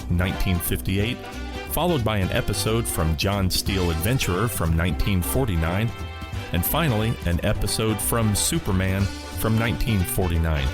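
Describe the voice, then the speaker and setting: Lowish male radio host over music. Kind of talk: scripted program introduction. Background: guitar music.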